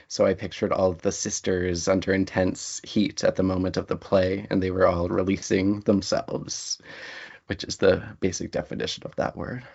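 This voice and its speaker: A man's voice: deep voice, vocal fry. A man speaking as a writer being interviewed, answering in a thoughtful, articulate, reflective way. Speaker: interview guest, writer and lecturer. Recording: dull, lo-fi audio.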